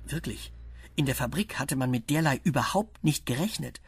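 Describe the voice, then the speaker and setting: A woman's voice: a bass voice, expressive, moderate pace, slight background noise. A woman narrating a children's radio play as a scripted storyteller.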